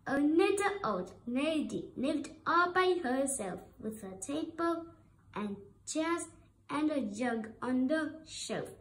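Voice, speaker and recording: High, slow-reading woman storyteller. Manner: expressive, deliberate phrasing. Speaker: storybook reader. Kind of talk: children's story read-aloud.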